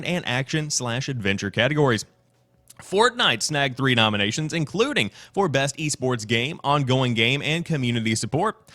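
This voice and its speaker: A man hosting a gaming news show in an energetic, polished presenter style, mixing scripted reading with commentary. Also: mid-range voice.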